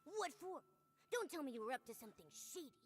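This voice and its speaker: A woman voicing a small, cute, excitable companion creature in a video game, perky, inquisitive and cheeky. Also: high-pitched, quick pace, in a large hall, a little hiss or hum. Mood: suspicious. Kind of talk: game voice acting.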